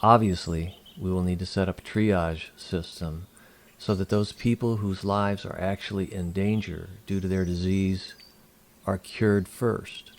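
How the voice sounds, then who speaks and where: Man playing a very deep-voiced, formal alien leader. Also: steady tone. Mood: formal, assured. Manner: formal, measured, polite. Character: courteous alien leader, large, diplomatic and smoothly persuasive male. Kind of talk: audio drama acting. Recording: noticeable background noise.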